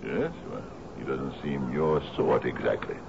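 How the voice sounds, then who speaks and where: A man's voice: a bass voice, a little slow, creaky, exaggerated voice. An actor playing a genial but domineering sea captain in a radio drama, hearty and lordly, repeating his phrases. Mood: delighted, insistent. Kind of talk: old-time radio drama acting. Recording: muffled, noisy old radio broadcast.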